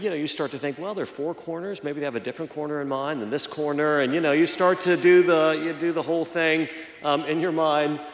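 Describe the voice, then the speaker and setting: Slightly hoarse preacher in an echoey hall. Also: male voice, a little high. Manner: halting, many fillers and restarts. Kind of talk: reflective sermon with personal story. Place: church.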